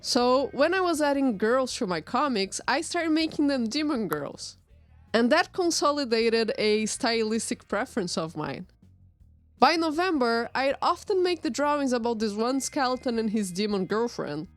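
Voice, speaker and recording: A woman whose native tongue is Portuguese talks through her art in a relaxed, dryly self-mocking way. Her voice sits a little high, with soft music underneath the narration. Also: moderate pace. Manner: relaxed, wry, self-deprecating. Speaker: artist and channel host. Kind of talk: voice-over narration over drawing timelapse.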